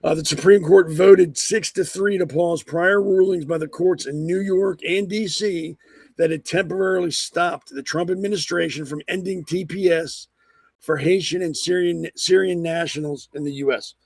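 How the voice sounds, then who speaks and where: A man hosting a political talk show, blunt and rambling with lots of ums, hoarse from a cold. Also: high-pitched, steady tone, moderate pace, darkish tone, no echo. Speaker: podcast host. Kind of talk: opinionated political commentary.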